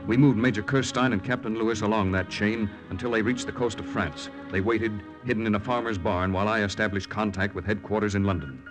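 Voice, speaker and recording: A man's voice: fairly low pitch, brisk, hardly any pauses, over music, natural voice, poor audio. An actor playing an earnest American secret agent who narrates his mission dramatically in a 1940s radio drama. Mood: proud, steady.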